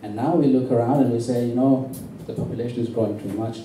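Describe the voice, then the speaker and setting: A man's voice: mid-range voice, steady tone, warm tone. Impassioned man speaking in a very echoey hall. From born in Sri Lanka. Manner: emphatic, intense, deliberate rhetoric. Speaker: campaign speaker at a public meeting. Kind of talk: impassioned political speech. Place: indoor public meeting venue. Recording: very echoey, distant, faintly noisy hall recording.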